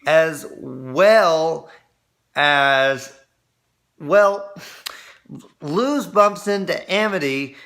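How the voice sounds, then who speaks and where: Bright-voiced man, halting and earnest reviewer. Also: fairly high pitch, clear, resonant tone. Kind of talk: casual episode review chat.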